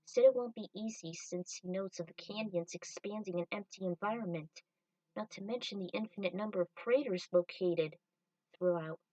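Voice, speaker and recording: A woman narrates a fan-written story as its storyteller, at a medium pitch. She sits slightly away from the microphone, with little room sound around her. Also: moderate pace, mellow, no echo, far from the mic, low-quality recording.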